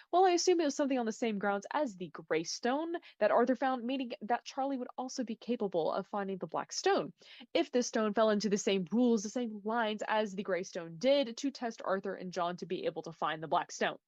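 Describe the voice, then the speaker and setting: A woman speaks at middle pitch on a dry, slightly muffled lo-fi recording. She delivers a fan-theory monologue playfully and dramatically, addressing listeners like darlings. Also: animated, a little fast, darkish tone, no echo. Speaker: listener sending a voice message.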